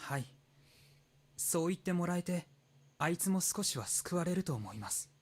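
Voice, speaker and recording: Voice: medium pitch, lively intonation, a little fast, breathy edge. An actor voicing a mature, reserved teenage boy in a Japanese visual novel, speaking with formal, hesitant politeness. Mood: subdued.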